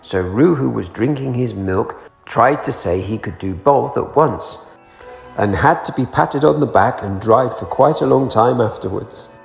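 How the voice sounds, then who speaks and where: A man reading a children's story aloud in an animated, expressive way. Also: mid-range voice, a little slow, vocal fry, roomy sound, big-room sound, soft background music. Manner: animated, acting out character voices. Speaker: storybook reader.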